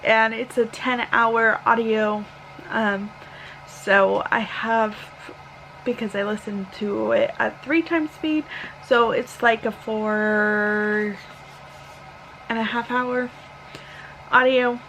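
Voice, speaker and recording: A woman in her thirties giving a casual, rambling vlog update, halting and correcting herself, sounding tired and a little hoarse. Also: a little high, steady tone, a bit bright, a little hiss or hum, low-quality recording. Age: 30s. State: slightly hoarse, tired, sore throat. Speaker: book vlogger.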